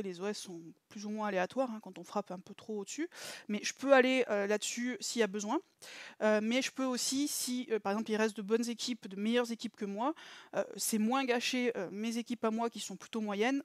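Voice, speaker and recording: Female channel host, mid-pitched, hesitant and chatty debrief delivery, dry close recording. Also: no echo. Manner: chatty, hesitant, many filler pauses. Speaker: mobile game channel host. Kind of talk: casual gameplay debrief to viewers.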